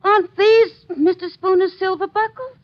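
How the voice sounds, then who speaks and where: A woman playing a giggly, coy young country wench in an old radio drama, with squeaky, sing-song questions. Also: normal speed. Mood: coy teasing. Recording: dull, lo-fi old radio recording.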